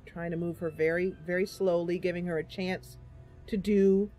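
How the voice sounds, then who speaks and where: A woman hosting a casual game livestream, chatty and animated, talking through her play and reading bits of story aloud. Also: on the low side, moderate pace, soft background music. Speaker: streamer and host playing a fantasy role-playing game. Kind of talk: casual livestream gameplay commentary with narration read aloud.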